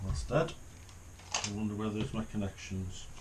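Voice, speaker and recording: A middle-aged man giving casual unboxing commentary, thinking aloud in an unhurried way as he handles things at a desk in a small room. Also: on the low side, steady tone, background noise. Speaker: hobbyist reviewer. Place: small home office, indoors.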